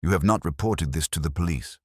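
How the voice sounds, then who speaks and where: A man voicing a calm, curt gentleman detective with a smooth, formal manner in an audiobook story. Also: a bass voice, a little fast, no echo, clean studio sound.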